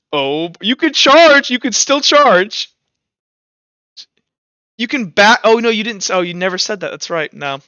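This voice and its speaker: A man's voice: high-pitched, bright, forceful tone, clean tone, no room sound, dull audio. A man acting as game master, mixing casual rules talk with informal, vivid narration of combat in a tabletop roleplaying game.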